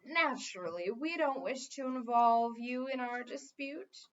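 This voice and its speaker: A woman voicing a smooth, pushy, lecturing male head wizard in a children's fantasy story. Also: a little high, unhurried, fairly bright tone, highs cut off. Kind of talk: children's fantasy audiobook reading.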